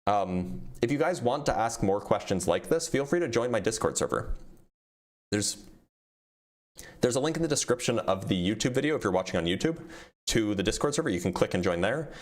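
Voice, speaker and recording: Low-voiced man fielding livestream questions. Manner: reads questions aloud, then answers plainly with frequent ums. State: starting to lose his voice. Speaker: physicist answering viewer questions. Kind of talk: casual livestream Q&A.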